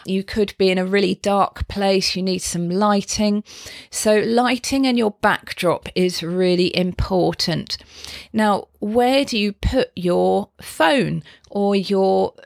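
Slightly hoarse woman host. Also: medium pitch, slow, no room sound, studio quality. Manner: chatty, explains step by step. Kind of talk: live video tutorial. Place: at her desk indoors. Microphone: desk microphone.

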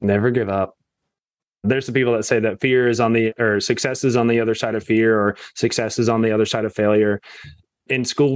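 A man's voice: mid-range voice, no echo. A man talking casually as a guest on a real estate podcast, leaning on fillers like "you know" and "right?".

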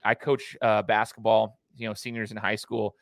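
A man's voice: fairly low pitch, flat delivery, normal speed, creaky, a bit bright, no room sound. A man hosting a podcast and coaching basketball, asking long, rambling questions in a casual chatty way.

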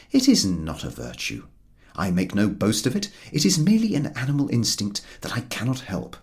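Very animated, low-voiced male audiobook narrator. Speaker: audiobook reader. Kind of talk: scripted narration of a comic travel story.

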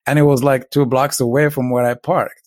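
A man's voice: mid-range voice, little pitch movement, fairly quick, no echo. A man from Morocco casually telling a couple's story, rambling and laid-back with lots of filler words.

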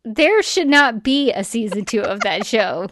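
A woman's voice: a little high, lively intonation, unhurried, fairly bright tone, no echo, clean studio sound. A woman co-hosting a podcast in a casual, chatty and emphatic way, often acting out imagined remarks. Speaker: podcast co-host. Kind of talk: casual chat.

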